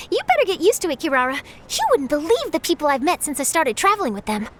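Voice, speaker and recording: A woman's voice: high voice, sing-song, fairly quick, a little hiss or hum. An actress voicing a cheerful, curious young woman traveling with the group, in a game cutscene. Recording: game cutscene with loud music.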